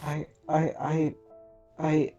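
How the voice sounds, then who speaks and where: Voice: mid-range voice, completely flat delivery, slow, warm tone, soft background music. A player roleplaying a confused character, stammering and hesitant. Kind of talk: roleplaying a tabletop game.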